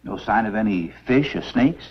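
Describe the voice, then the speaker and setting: An actor playing a shrewd older doctor, brisk and probing, in a 1950s B-movie. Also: male voice, lowish voice, lively intonation, slightly rough. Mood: probing, argumentative. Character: shrewd, insistent older doctor, a grown woman's father. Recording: dull 1950s film soundtrack.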